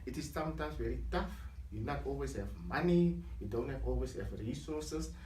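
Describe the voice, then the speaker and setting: A man's voice: medium pitch, lively intonation, moderate pace, warm tone, a little hiss or hum. Afrikaans-speaking man, echoey distant mic. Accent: South African. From South Africa. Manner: earnest, reflective storytelling. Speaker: guest. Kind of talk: conversational life story.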